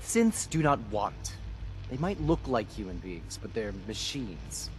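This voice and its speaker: A man's voice: lowish voice, big pitch swings, unhurried, soft background music, rough recording. An actor playing a suspicious, condescending male security director with a clipped, officious manner in a video game. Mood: stern, irritated. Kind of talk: video game dialogue acting.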